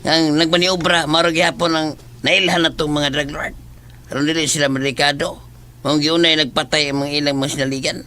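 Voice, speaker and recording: A man playing an opinionated, excitable young village man in a horror audio drama, explaining things insistently. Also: fairly high pitch, fairly quick.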